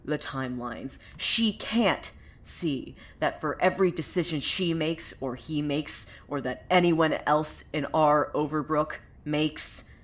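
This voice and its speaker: A woman's voice: deep voice, takes it slowly, hardly any pauses. A woman playing an unhinged, bitter cult preacher with visions in a fiction podcast, theatrical and swinging between brooding and mania. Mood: brooding, eerie. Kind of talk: dramatic monologue in an audio fiction podcast.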